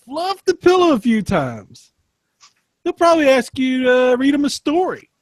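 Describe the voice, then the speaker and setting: A man's voice: extremely high pitch, dry recording, voice pushed up, heavily acted. Exasperated, swooping storybook narrator played by a man. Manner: exasperated, sing-song, drawn out. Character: slightly exasperated storybook narrator warning of a demanding monster. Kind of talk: expressive children's picture book read-aloud.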